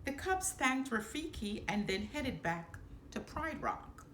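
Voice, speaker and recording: A woman's voice: a little high, sing-song, slow pace, a bit bright. A woman storyteller reading a children's book aloud expressively. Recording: roomy echo, background noise.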